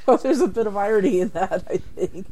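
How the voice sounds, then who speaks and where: A woman's voice: medium pitch, brisk, warm tone. A woman co-hosting a casual podcast over a Skype call, chatty and often laughing. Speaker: podcast co-host and improv player.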